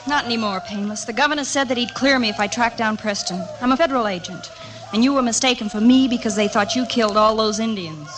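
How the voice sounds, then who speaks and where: An actress playing an earnest, noble frontier wife in a melodramatic old-time radio comedy style. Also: female voice, fairly high pitch, fast, lightly acted. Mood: solemn confession. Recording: noisy vintage radio recording.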